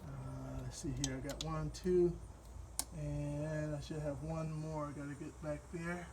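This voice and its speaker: A man from Wisconsin with a Midwestern accent, explaining a car repair step by step in a relaxed, rambling way while he works. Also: a little high, gravelly, noisy background. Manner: relaxed, rambling, with fillers and pauses while working. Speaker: DIY car repair host. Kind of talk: hands-on repair walkthrough.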